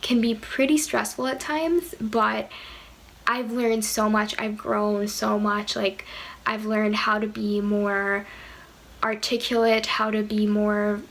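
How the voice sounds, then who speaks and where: A quiet-voiced woman in her twenties talking casually to camera, hesitant and full of fillers. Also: mid-range voice, little pitch movement, slow, poor audio. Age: 20s. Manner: soft-spoken, hesitant with many fillers. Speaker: vlogger.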